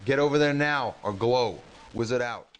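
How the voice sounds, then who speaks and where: An actor playing a frank, harried military hacker in a video game, talking in an informal, slangy way. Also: male voice, mid-range voice, moderate pace, lightly acted. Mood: urgent. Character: frank, harried male military hacker running rebel teleport pads. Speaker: radio communications and teleport operator. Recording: noisy game audio with clicks.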